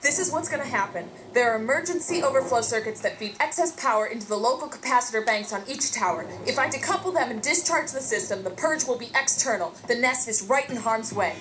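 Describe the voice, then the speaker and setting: An actress voicing a resolute young woman engineer in a sci-fi horror game, calmly laying out a dangerous plan. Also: a little high, quick pace, hardly any pauses, poor audio. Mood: determined. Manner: determined, technical, steady. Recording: game audio with loud noise behind.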